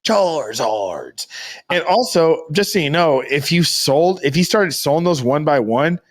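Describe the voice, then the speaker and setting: A man's voice: on the high side, expressive, unhurried. A man co-hosting a casual comedic podcast, talking in a slangy, emphatic, profane way. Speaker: podcast co-host.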